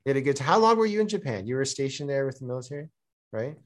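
Relaxed man, mid-pitched. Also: very fast. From Canada. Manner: relaxed, conversational, with fillers. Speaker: podcast guest. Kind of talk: casual interview conversation.

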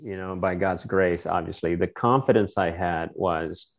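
A man with a deep, low voice talks through his memories in a relaxed interview. He circles back often, repeats words and fills pauses as he thinks aloud. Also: gravelly. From Philippines. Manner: reflective, storytelling, many restarts and fillers. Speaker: guest. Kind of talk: casual interview conversation.

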